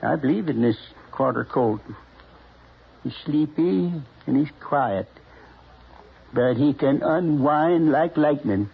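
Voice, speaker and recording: Old West rancher: American, hoarse-edged and earnest, stubborn pride, folksy delivery, tinny old radio drama recording. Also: male voice, fairly high pitch, lively intonation, slow, long pauses, heavily acted. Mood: proud, earnest. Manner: earnest, folksy, hesitant then insistent. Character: earnest, stubbornly proud small-time horse rancher, man. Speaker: actor in a radio western.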